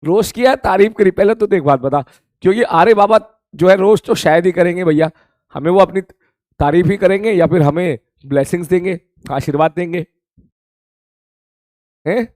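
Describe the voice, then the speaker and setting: A male physics teacher with a hoarse, worn throat talks to his students in a live-streamed class. His voice sits a little high for a man, and he chats freely, repeats his greetings and calls them "beta". The sound is close and dry. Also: moderate pace, long pauses, darkish tone, no room sound.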